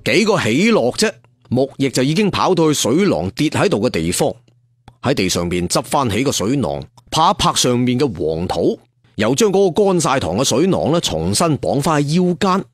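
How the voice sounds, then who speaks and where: A man's voice: medium pitch, big pitch swings, moderate pace, no room sound, studio quality. A man telling a serial story in a theatrical, colloquial traditional storyteller style. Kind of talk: dramatic serial storytelling narration.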